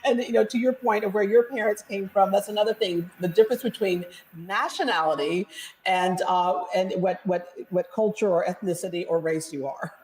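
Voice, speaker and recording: A middle-aged woman hosting a TV panel discussion, conversational and quick to laugh. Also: mid-range voice, a little mellow, poor audio. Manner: conversational, laughs easily, reads statistics. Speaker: TV talk show host.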